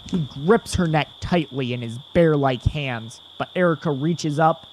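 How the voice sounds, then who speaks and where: A man's voice: on the high side, a little slow, darkish tone, a little hiss or hum, low-quality recording. A man narrating a scripted audio drama in the third person.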